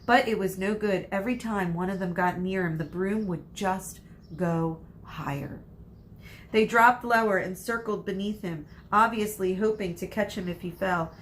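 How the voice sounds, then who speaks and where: Female read-aloud storyteller, medium pitch, expressive and dramatic, slight background noise. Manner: dramatic emphasis, pauses for suspense.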